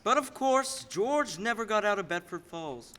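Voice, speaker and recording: Young man as high, bright storyteller in a hall. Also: animated, moderate pace. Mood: explanatory. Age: young adult. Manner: explanatory, storytelling. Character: storyteller recounting a man's life to a companion. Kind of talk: radio play narration. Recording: echoey hall.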